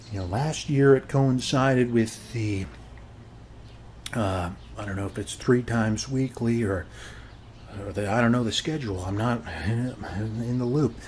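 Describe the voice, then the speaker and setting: A man's voice: medium pitch, a little mellow. Rambling American man, friendly neighbor, noisy background. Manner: folksy, rambling, frequently checks in with "okay?". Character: chatty, boastful suburban neighbor guy. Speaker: ASMR performer playing a friendly neighbor. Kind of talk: ASMR roleplay, casual rambling monologue.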